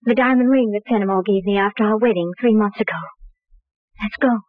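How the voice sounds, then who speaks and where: A woman plays a wealthy, guarded wife in a radio mystery, on a thin vintage recording with little room sound. Her delivery is poised and measured, at a middling pitch. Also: dry recording, phone-quality sound, lightly acted. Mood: composed, explaining. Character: restless, guarded wealthy wife of murdered millionaire. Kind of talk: old-time radio crime drama acting.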